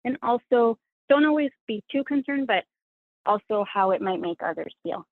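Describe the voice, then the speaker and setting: A woman's voice: a little high, expressive, normal speed, dry recording. A woman whose first language is Spanish, a sewing content creator speaking as a guest in an interview, in an earnest, reflective, self-critical way. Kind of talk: interview conversation. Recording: tinny dry phone line.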